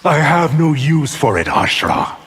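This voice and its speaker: An actor playing an arrogant, scheming evil sorcerer in a video game, with a haughty, menacing, theatrical delivery. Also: male voice, on the high side, sing-song, unhurried, heavy background noise. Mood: cold menace. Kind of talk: video game villain dialogue. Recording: echoing hall reverb over music.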